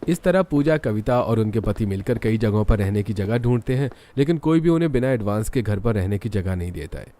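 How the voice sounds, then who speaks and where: A man's voice: on the low side, expressive, a little fast, hardly any pauses, mellow. A man reading scripted narration for a cartoon story.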